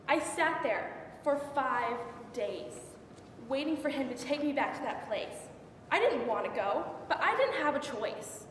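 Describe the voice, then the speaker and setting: A young woman playing an anguished biblical concubine in a dramatic stage monologue, with halting, repetitive, intense delivery. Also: sits high. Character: anguished young unnamed biblical concubine recounting her ordeal. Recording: echoey hall from far off, faint noise.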